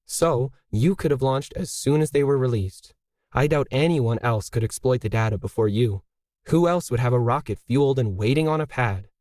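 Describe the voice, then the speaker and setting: A stubborn, tired woman artist explains herself insistently in a science fiction audiobook, as a male narrator plays her. The delivery is medium in pitch and dry. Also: normal speed, darkish tone, no room sound, close to an everyday voice. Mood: weary, insistent.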